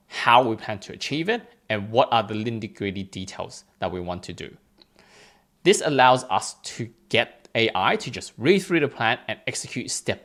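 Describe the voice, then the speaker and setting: A man's voice: on the low side, fairly bright tone. Man narrating a step-by-step tech tutorial. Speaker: tutorial host. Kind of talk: instructional screencast narration, step by step.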